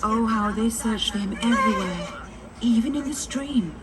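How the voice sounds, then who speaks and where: A woman's voice: fairly high pitch, moderate pace, distant mic, very noisy. A woman narrating children's stories in a scripted storytelling style for a story ride, heard from a little way off. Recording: loud music bed under the voice.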